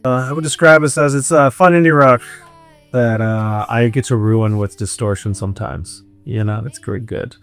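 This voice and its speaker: A man's voice: medium pitch, moderate pace, a bit bright, clean tone, no echo, soft background music. A man, an indie band musician, chatting casually and jokingly in an interview. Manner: joking, banter with bandmate.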